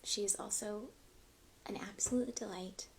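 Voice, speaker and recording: Soft whispering woman with a gravelly edge. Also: medium pitch, steady tone, normal speed, a little hiss or hum. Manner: soft whispering, chatty and self-conscious. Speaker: livestream host. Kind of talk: whispered ASMR-style livestream chat. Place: quiet room at home. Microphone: cheap USB microphone, phone held in hand.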